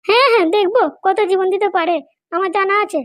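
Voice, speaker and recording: A performer voicing a scheming, haughty woman politician in a cartoon comedy, bossy, scolding and fond of sharp questions. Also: very high voice, fast talker, no room sound, studio quality. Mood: spiteful. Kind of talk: amateur cartoon comedy dialogue.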